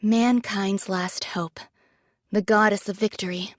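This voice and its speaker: Actress as a frank, medium-pitched female android soldier. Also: steady tone, unhurried, no echo, studio quality, lightly acted. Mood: earnest. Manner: frank, earnest, imploring. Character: frank rank-and-file female android soldier, admiring yet reproachful. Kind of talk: dramatic game voice acting.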